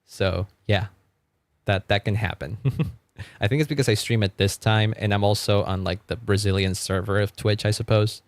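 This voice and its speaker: Low-voiced man rambling on stream. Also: steady tone, normal speed, no echo. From Argentina. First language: Spanish. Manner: rambling, stammers on repeated words, laughs often. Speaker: music streamer. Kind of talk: casual live-stream chat.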